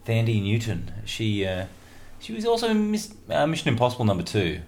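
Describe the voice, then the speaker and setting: A man's voice: mid-range voice, wildly swooping pitch, a little fast, a little mellow. A man hosting a radio show, chatting and joking casually between tracks with lots of fillers. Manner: chatty, jokey and rambling, with many fillers.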